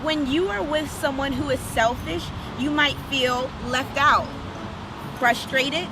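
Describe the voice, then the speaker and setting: High-pitched woman hosting children's story time. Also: takes it slowly, background noise. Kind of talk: children's read-aloud with direct questions to young viewers. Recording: music under the voice. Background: music.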